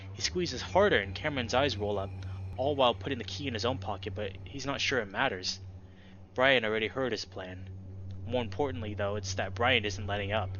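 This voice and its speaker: A man's voice: medium pitch, pushed and bright. A young man hosting a let's play and reading a visual novel aloud as dramatic narration. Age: young adult. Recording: dull lo-fi audio with background noise.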